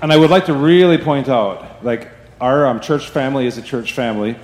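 A man's voice: mid-range voice, brisk, darkish tone. A man giving church announcements to the congregation in a chatty way, often asking "right?". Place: church sanctuary. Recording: echoey hall, faint ambience.

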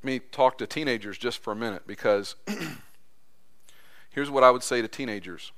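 Guy preaching in church, bright sharp voice, gets loud and high acting out lines, then quiet. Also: moderate pace. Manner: impassioned, voice rising high when acting out quotes. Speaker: pastor. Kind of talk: sermon.

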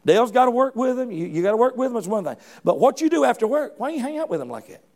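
Middle-aged male preacher, high and gravelly voice, fervent and folksy, frequent restarts. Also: sing-song, quick pace, darkish tone. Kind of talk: sermon.

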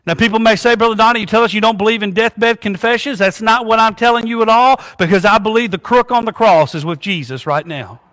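A male pastor preaches in a church, prompting his congregation with "amen?" and asking them to finish his lines. His voice sits high and rings bright. Long reverb from the sanctuary trails each phrase. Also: fast, hardly any pauses. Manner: call-and-response preaching, asks congregation "what?" and "amen?". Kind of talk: sermon with prayer.

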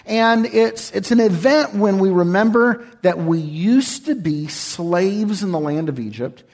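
Husky man preaching folksy. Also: high voice, measured pace, a little mellow. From Texas. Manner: folksy, joking, sings with congregation. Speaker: guest speaker. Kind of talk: sermon. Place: church sanctuary.

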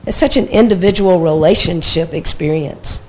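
A church discussion group member sharing a reflection in a halting, thoughtful way, often restarting sentences. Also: mid-range voice, noisy background.

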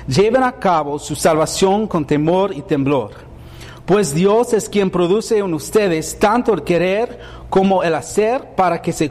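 A man's voice: high voice, darkish tone, long reverb tail. Man preaching in learner's Spanish. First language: English. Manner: conversational, asks the congregation questions. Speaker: pastor. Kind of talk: bilingual sermon.

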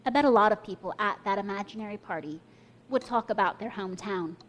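A woman's voice: mid-range voice, fast. A young woman preaching a sermon, telling a personal story and inviting listeners to imagine along with her. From Kenya and the US.

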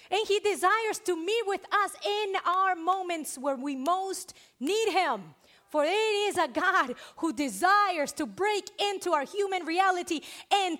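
A woman's voice: extremely high pitch, fairly bright tone. A woman in her thirties with a Spanish accent, preaching a sermon earnestly and emphatically.

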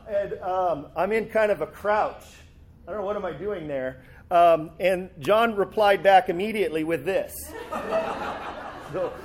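A man preaching a sermon and reading scripture, talking easily with some fillers, a little hoarse from a cold. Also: sits high. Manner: relaxed, conversational, with fillers and restarts. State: sore throat and a cold. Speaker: pastor. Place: church sanctuary.

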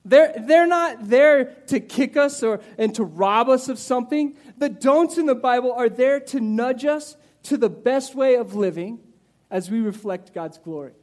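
A man in his forties preaching a sermon in a conversational, animated way, with asides to the listeners and some restarts. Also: a little slow. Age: 40s. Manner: animated, conversational preaching with rises in pitch and volume. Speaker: pastor.

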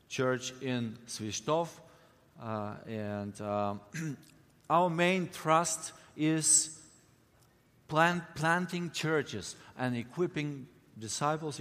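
A man from Bulgaria with a Bulgarian accent, a missionary giving a friendly, halting church talk with many fillers. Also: fairly high pitch. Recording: long hall reverb.

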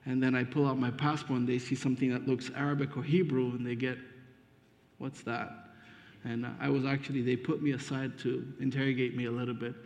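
Ethiopian-born preacher in an echoing church. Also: male voice, medium pitch, flat delivery, a little fast, darkish tone. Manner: friendly, hesitant delivery with fillers. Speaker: guest preacher. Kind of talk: sermon introduction. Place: church sanctuary. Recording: dull muffled sound with long reverb.

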